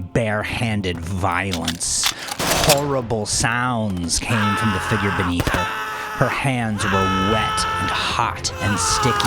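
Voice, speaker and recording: Gravelly, low-voiced male narrator over music. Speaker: audiobook narrator. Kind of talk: dramatic scripted fiction narration. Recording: music behind the voice.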